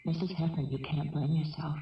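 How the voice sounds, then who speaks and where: An actress playing a gentle, composed middle-aged housewife in a 1970s radio drama, speaking carefully, politely and soothingly. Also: female voice, deep voice, fairly even intonation, a little fast, recorded from a distance, slight background noise, lightly acted. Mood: consoling. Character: gentle, composed housewife and mother held hostage. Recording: roomy, muffled old radio broadcast.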